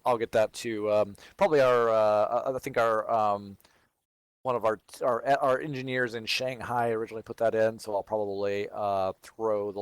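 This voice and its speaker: A man's voice: lowish voice, clean tone, dry recording. A man from Texas with no regional accent, hosting a livestream and answering viewer questions in casual, think-aloud chat.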